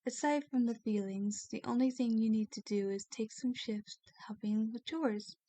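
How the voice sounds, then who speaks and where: Girl doing a gentle lady vampire for asmr roleplay, kinda high soft voice, very close dry sound, soothing and proper. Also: dry recording, close to an everyday voice. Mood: softly explaining. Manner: gentle, soothing, formal and genteel wording. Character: kind, genteel female vampire, ageless, soothing and possessive.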